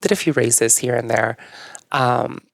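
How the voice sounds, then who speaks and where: Male podcast guest, young adult, native Spanish speaker; gravelly texture, dry close sound, easygoing reflective storyteller. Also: mid-range voice, measured pace, dry recording. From northern Mexico. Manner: relaxed and reflective, with frequent "um" and "like". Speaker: podcast guest, yoga teacher. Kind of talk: casual conversational storytelling.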